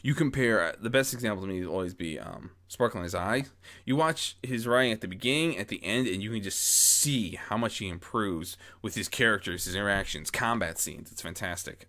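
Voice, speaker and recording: A man talking casually and reflectively to his followers as an amateur story narrator, rambling and correcting himself as he goes, close on a boom-arm mic. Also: creaky, fairly bright tone. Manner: rambling, self-correcting, frequent false starts. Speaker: amateur audio story narrator and channel host. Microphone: close mic on boom arm with pop guard.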